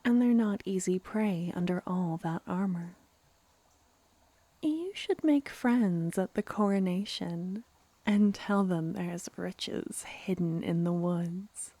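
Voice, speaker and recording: A woman voicing a playful, clever female vampire in a soft-spoken ASMR fantasy roleplay, teasingly menacing and often near a whisper. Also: medium pitch, dry recording. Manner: unhurried, theatrical, teasing, slipping into whispers.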